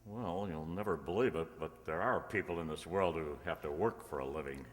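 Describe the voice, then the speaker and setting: A man's voice: low voice, fairly quick, close to an everyday voice. A man playing a wry, down-to-earth, hard-up writer in an amateur radio play, with a dry delivery. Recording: noisy echoey hall, long reverb.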